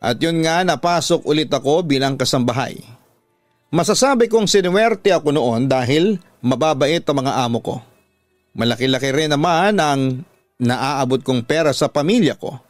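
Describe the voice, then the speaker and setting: A man's voice: a little high, expressive, normal speed, no echo, faint music behind. A man with a Filipino accent narrating a scripted dramatic story for radio.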